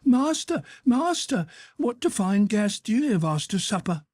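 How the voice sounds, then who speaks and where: A man reading aloud and voicing a sly, greedy young female cook in a high, wheedling voice. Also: expressive, measured pace, warm tone, no room sound, clean studio sound. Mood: false alarm. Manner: wheedling, talking to herself.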